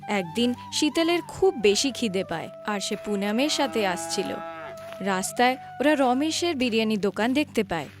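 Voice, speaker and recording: A woman's voice: fairly high pitch, expressive, normal speed, music in the background. A woman giving scripted storybook narration for a cartoon.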